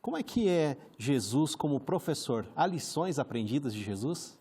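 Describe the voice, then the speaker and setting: A man's voice: on the high side, lively intonation, moderate pace, warm tone, husky. A man with a Brazilian Portuguese accent hosting a conversational Bible study program, friendly and often chiming in with short agreements. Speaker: program host.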